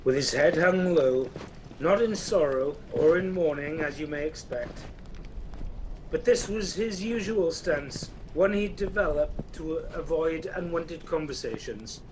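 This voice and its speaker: A man narrating a scripted radio play in a wry, measured storytelling manner. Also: fairly high pitch, expressive, a little hiss or hum, low-quality recording.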